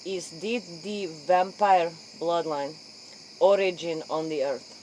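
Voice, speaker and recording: A woman's voice: lowish voice, takes it slowly, darkish tone, slight background noise, poor audio. A woman playing an earnest, curious interview host, rambling in broken English in a spoof late-night show. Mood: pondering, earnest.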